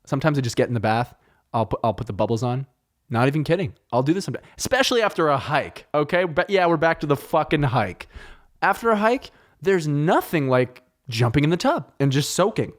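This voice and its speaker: A man's voice: a little high, big pitch swings, fairly quick. A man hosting a solo podcast, telling meandering, profane stories in a joking, casual way, with French as his first language. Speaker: podcast host. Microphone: close studio mic.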